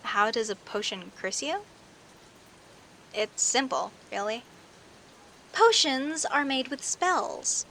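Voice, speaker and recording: A woman playing a friendly, teasing young werecat neighbor in an audio roleplay, chatting playfully to the listener with hesitant pauses and theatrical emphasis. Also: a little high, pushed and bright, a little hiss or hum, full character voice. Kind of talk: audio roleplay, casual one-sided conversation with the listener.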